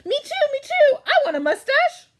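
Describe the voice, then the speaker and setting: Fairy-tale small boy played by a woman, eager, excitable, very high and bouncy. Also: normal speed.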